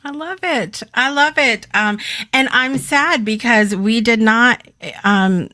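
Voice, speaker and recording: A woman's voice: fairly high pitch, slow pace, sharp tone, no room sound. A woman hosting a casual interview, encouraging her guest with frequent affirmations and laughs. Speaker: host.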